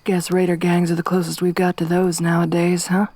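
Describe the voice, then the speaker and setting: Woman acting tough survivor girl, soft-spoken, gruff and teasing, flat delivery, lowish voice, ASMR roleplay. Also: a little fast, no echo, natural voice. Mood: low-key, wry. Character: tough, wry young woman wasteland survivor.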